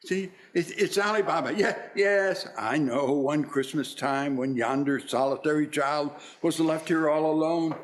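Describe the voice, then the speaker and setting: A man playing an excitable, wistful old miser in a theatrical radio play, exclaiming and stammering when moved. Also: on the high side, unhurried, few pauses, lightly acted. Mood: wistful, stirred.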